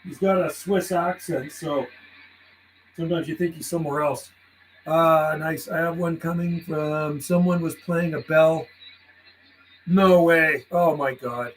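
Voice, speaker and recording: A man's voice: on the high side, steady tone, unhurried, a little hiss or hum, low-quality recording. A man from western Canada chatting live on CB radio, laughing often and rattling off call signs and radio jargon. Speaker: CB radio operator streaming live. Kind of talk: casual on-air radio chat.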